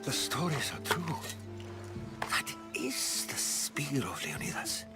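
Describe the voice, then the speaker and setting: A man playing a learned, middle-aged Greek historian in a video game, telling stories in a measured, solemn way. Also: medium pitch, sing-song, loud music behind the voice. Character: learned, well-travelled Greek historian and storyteller, grave and earnest. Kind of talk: dramatic video game cutscene acting.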